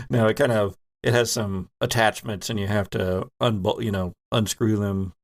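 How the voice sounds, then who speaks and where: A man's voice: on the low side, measured pace. A man narrating a tabletop role-playing game as game master, setting scenes with vivid description.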